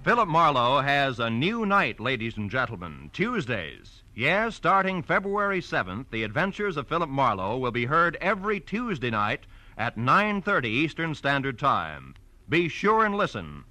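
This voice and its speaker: A man's voice: mid-range voice, very animated, moderate pace, bright, forceful tone, dry recording, poor audio. An American man announcing in old network radio style, reading scripted credits with polished, emphatic projection.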